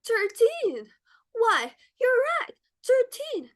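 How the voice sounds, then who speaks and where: Woman acting a high-voiced, bossy society hostess. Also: very animated, extremely slow, fairly bright tone, heavily acted. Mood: indignant, flustered. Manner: dramatic, bossy, exclamatory. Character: determined, fussy middle-aged society hostess and mother. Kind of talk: stage play reading.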